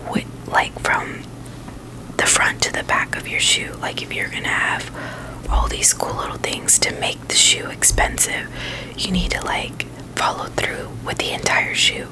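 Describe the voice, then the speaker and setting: A woman's voice: fairly high pitch, poor audio. A woman giving a casual, chatty ASMR product review in a whisper, informal and opinionated as she rambles along. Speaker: ASMR video creator.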